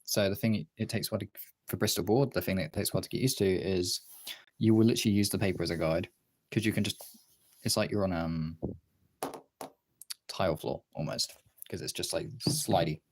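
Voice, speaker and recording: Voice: sits low, steady tone, fairly quick, long pauses. Someone giving a casual hands-on art tutorial, rambling and thinking aloud while working.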